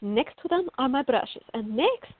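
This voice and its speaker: A woman's voice: sits high, lively intonation, a little slow, slightly rough, no echo, full character voice. A woman playing a fiery, stubborn, teasing young artist in a radio drama, with an emphatic, wry way of contradicting. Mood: stubborn, sarcastic. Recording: thin, phone-like band-limited audio.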